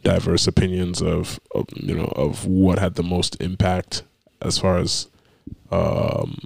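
A man's voice: sits low, little pitch movement, unhurried, vocal fry, darkish tone, breathy edge, dry recording. A man co-hosting a podcast in casual chat, laid-back and rambling, getting sidetracked. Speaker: podcast co-host.

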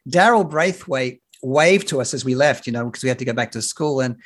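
A man in his fifties with an Australian accent, a broadcaster telling stories as a podcast guest in a relaxed way, with many fillers and restarts. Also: medium pitch, a little fast. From Sydney, Australia. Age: 50s. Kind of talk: casual interview chat. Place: home near a rail line.

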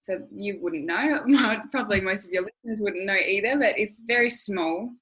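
A woman's voice: mid-range voice, moderate pace, a bit bright. A young Australian woman speaking as an interview guest, candid and reflective, with lots of "you know" and "like". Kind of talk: conversational interview.

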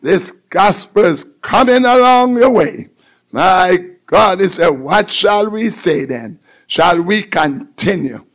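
A man's voice: sits high, animated, slow, a bit bright. A man preaching a fervent call-and-response sermon, exhorting listeners and repeating phrases with emphasis.

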